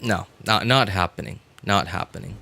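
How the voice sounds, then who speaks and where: A man chatting casually as he streams a game, rambling and halting as he hunts for words in a self-deprecating way. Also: low voice, vocal fry, sharp tone, faint music behind. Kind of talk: casual livestream chat while gaming.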